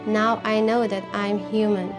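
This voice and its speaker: A young woman from Afghanistan speaks in sincere, simple phrases at a middle pitch. Clear music plays under her voice. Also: flat delivery, measured pace. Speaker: refugee giving testimony.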